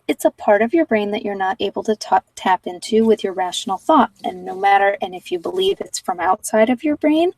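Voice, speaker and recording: Female meditation guide for unscripted ASMR chat, musing and pausing as she goes, mid-pitched, in a dry room sound. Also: gravelly, a little mellow, dry recording, distant mic, rough recording. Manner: hesitant, reflective, rambling with pauses. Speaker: meditation guide and former social worker. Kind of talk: informal unscripted ASMR-channel talk.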